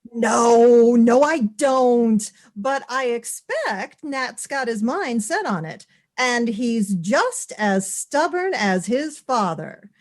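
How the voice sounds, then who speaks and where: A woman playing a calm, sensible mother of a young seafarer in an old-time radio play. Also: on the high side, slow pace. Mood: soothing, firm. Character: calm, sensible New England sea captain's mother.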